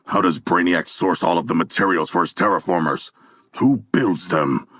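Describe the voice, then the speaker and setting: An actor voicing a hulking, proud humanoid shark warrior in a video game, with formal, stilted diction, earnest grandiose declarations and a gravelly, husky voice. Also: male voice, low voice, normal speed, fairly bright tone, no room sound, clean studio sound. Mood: subdued, reflective. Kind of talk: video game character dialogue.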